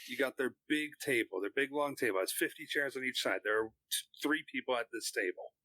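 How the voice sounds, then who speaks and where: A man's voice: medium pitch, brisk, sharp tone, dry recording. A man on a podcast panel, chatting casually and setting up comic scenes.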